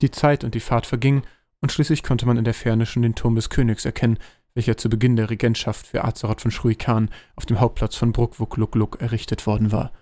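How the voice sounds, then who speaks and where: A man's voice: mid-range voice, level tone, a little fast, mellow, no room sound, studio quality. A man narrating a scripted fantasy audio drama as a storyteller.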